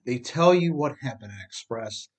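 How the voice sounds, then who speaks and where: Audiobook author-narrator: male, middle-aged, American accent, mid-pitched, emphatic and conversational, speaking directly to the listener. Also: expressive, normal speed, slightly rough, low-quality recording. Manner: emphatic, addresses listener with rhetorical questions. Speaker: author reading own audiobook.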